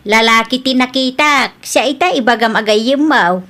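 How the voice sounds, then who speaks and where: A woman's voice: a little high, normal speed, fairly bright tone, close to an everyday voice. A woman playing a suspicious, nagging mother in a radio drama. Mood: suspicious, scolding.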